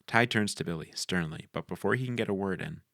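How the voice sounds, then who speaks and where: A man's voice: deep voice, fast talker, creaky, fairly bright tone, dry recording, studio quality. A man reading scene headings and stage directions as narrator at a script table read.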